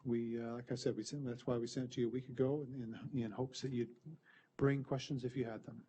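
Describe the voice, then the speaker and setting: A man's voice: medium pitch, flat delivery, a little fast, dark tone, a little hiss or hum. A man presenting an update at a committee meeting, explaining the process in an easygoing way. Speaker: committee member presenting a plan review. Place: board meeting room.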